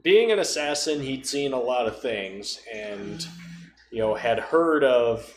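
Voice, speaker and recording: A man's voice: medium pitch, lively intonation, slow, echoey room, slight background noise. A man in casual podcast conversation about tabletop role-playing, with a dryly joking, conversational way of talking. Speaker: podcast speaker.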